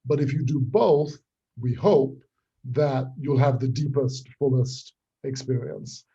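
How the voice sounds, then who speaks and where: Very mellow, husky man explaining his work. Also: mid-range voice, slow pace, muffled recording. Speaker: writer and creator being interviewed. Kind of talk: conversational interview explanation.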